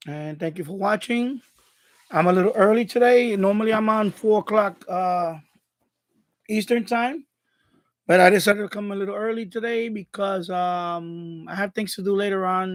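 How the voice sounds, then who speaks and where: A man's voice: high-pitched, no room sound. A man hosting a hobby live stream, chatting casually in a rambling, repetitive way. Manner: rambling, repeats himself.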